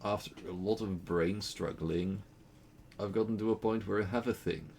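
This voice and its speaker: Low-voiced Dutch young man rambling. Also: steady tone, normal speed, gravelly, a little mellow, a little hiss or hum. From Netherlands. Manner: rambling, playful, laughs often. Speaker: game streamer. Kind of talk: casual gaming stream chat.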